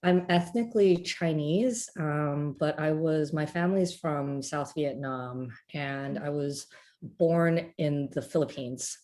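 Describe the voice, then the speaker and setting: Deep, mellow-voiced woman panel guest. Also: slow pace. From California. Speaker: panel guest, journalism coordinator. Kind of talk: casual panel talk.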